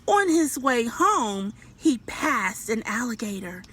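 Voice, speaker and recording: A woman reads a storybook to children in a high, very animated voice. She takes her time and stops to put questions to young listeners. Light noise sits behind her. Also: slow, slightly rough. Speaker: children's read-aloud storyteller.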